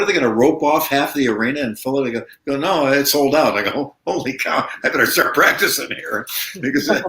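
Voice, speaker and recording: A male musician guest in a casual interview, telling stories in a relaxed way with many fillers, over a video call. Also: lowish voice, echoey room.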